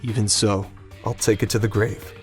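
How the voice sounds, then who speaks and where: A man's voice: on the low side, little pitch movement, moderate pace, faint music behind, lightly acted. A man playing a troubled, earnest young classmate in an audio drama, intense and exasperated. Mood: grave, intense.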